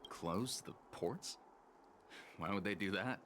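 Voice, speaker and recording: Breezy low-voiced skiff pilot. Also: male voice, slow, noisy background. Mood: businesslike. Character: chatty male star skiff pilot, long in the trade. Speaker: pilot for hire. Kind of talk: video game character acting.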